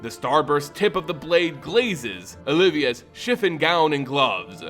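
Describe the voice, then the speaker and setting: A man giving a dramatic, theatrical scripted narration of a fantasy story, with deliberate emphasis. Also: fairly high pitch, sing-song, fairly bright tone. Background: music.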